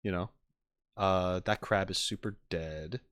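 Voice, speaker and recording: A man hosting a livestream, chatting casually and playfully with viewers, rambling and often swinging up into high-pitched emphasis. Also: fairly even intonation, unhurried, fairly bright tone, no room sound, clean studio sound. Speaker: streamer and reaction video host.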